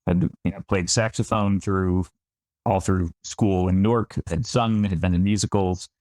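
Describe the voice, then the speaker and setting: Chatty low-voiced man from upstate New York. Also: steady tone, unhurried, darkish tone, no echo. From Finger Lakes region of New York. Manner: chatty and self-deprecating, with frequent restarts. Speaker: winemaker guest. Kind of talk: conversational podcast interview.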